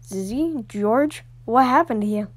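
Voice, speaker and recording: A woman voicing amateur character dialogue for an animated story. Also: on the high side, unhurried. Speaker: creator voicing all characters of an animated series.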